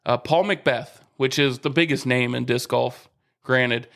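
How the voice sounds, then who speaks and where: Male podcast co-host, mid-pitched, chatty, quick to laugh; casual sports banter. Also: gravelly, fairly bright tone. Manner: laughs often, explains golf patiently. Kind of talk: casual chat.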